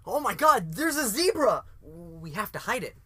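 A young woman playing an excitable student sidekick in an amateur comedic radio play, casual and exclamatory. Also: medium pitch, moderate pace. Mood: excited. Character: oblivious student sidekick turning all-powerful, excitable and peaceable.

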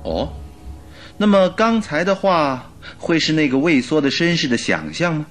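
An actor playing a detective's inquisitive companion in a radio drama, reasoning aloud in a conversational way. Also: male voice, fairly high pitch, very animated, moderate pace, faint music behind. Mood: pondering.

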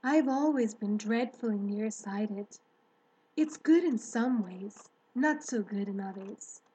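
A woman voicing a kindly, self-assured female spider in a children's storybook, composed, articulate and gently matter-of-fact. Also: medium pitch, slow pace, breathy edge, low-quality recording. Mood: calm, candid.

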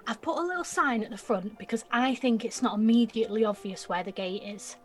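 A young woman acting an excitable, mouthy and insecure nineteen-year-old girl in an audio drama, with slangy, blurting speech. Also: a little high, brisk, close to an everyday voice. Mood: excited.

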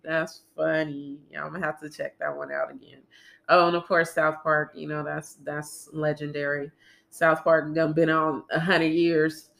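A woman's voice: low-pitched, little pitch movement, normal speed, gravelly, a bit bright. A young woman co-hosting a podcast in casual, chatty talk, congested from a cold and laughing often. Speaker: podcast co-host.

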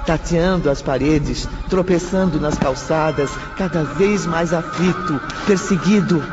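A man's voice: fairly high pitch, a little slow. A man narrating a radio drama in a dramatic, scripted style. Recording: muffled, under prominent music.

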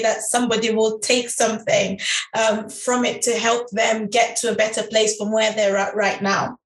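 A woman's voice: fairly high pitch, fairly bright tone, echoey room, low-quality recording. Ugandan-raised woman telling her life story. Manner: thoughtful, with frequent um fillers. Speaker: life coach guest. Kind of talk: conversational storytelling.

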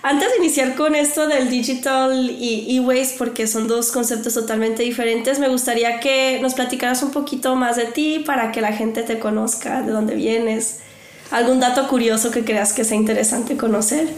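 A woman hosts a live talk in a slightly high voice. She is friendly and welcoming as she introduces her guest. The sound carries a noticeable room echo. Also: fairly even intonation, moderate pace. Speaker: interview host.

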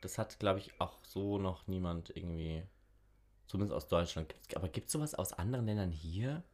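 Low-voiced man in slangy banter. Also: normal speed, a little mellow. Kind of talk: casual chat, guessing game.

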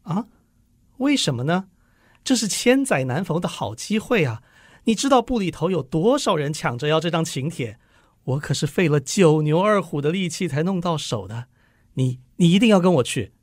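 An actor playing an eager, doting husband, a modest clerk in a radio drama, with an earnest, easily flustered manner. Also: male voice, fairly high pitch, normal speed, no echo. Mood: excited. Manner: earnest, animated, stammering when flustered.

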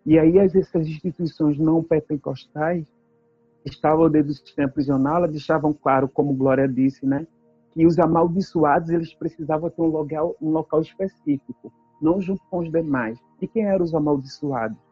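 Husky-voiced woman. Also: low voice, fairly even intonation, fairly quick, no room sound, highs cut off, soft background music. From Brazil. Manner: earnest, emphatic storytelling, tag questions. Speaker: activist speaker. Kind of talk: personal testimony talk.

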